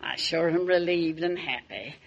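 An actress playing a plainspoken, earnest housemaid with homespun, ungrammatical speech in a 1940s radio drama. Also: female voice, low voice, a little slow, vocal fry, highs cut off, heavily acted. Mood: relieved. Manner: rustic, ungrammatical, homespun phrasing. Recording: vintage 1940s radio recording.

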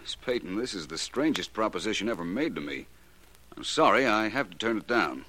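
An actor playing a courteous, cool private investigator in a 1940s radio drama, speaking crisply and without fluster. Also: male voice, on the low side, lively intonation, brisk, bright, forceful tone. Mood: cool, probing. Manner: polite, crisp, unruffled. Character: Philo Vance, suave adult male private investigator, courteous, cool. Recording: noisy vintage 1940s radio recording.

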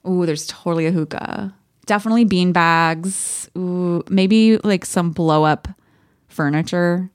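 A woman co-hosting a podcast, chatting casually and reading aloud from a diary in an expressive, playful way. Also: fairly low pitch, unhurried, no room sound, clean studio sound. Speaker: podcast co-host.

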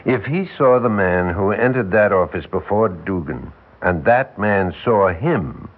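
An actor playing an older, world-weary defense lawyer, folksy and wry, with rambling, emphatic delivery in a 1960s radio drama. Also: male voice, deep voice, lively intonation, a little slow, narrow, tinny audio. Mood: wry, musing. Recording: band-limited vintage 1960s radio recording.

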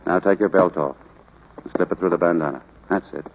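A man's voice: low voice, brisk, rough recording. A man playing a firm, plainspoken rancher father in a 1940s radio drama, terse and matter-of-fact. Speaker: actor in radio play.

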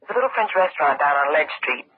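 A woman's voice: low-pitched, lively intonation, very fast. A woman playing a secretive, urgent mystery caller in an old radio drama, heard through a telephone filter. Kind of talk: 1940s radio acting. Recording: thin phone line on old radio, noisy.

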